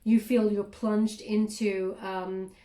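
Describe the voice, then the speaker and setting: Mellow-voiced woman giving a chatty tarot reading. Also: mid-range voice, level tone, slow pace, reverberant. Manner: chatty, frequent fillers and "okay?" check-ins. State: clearing throat, voice catching. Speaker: tarot reader.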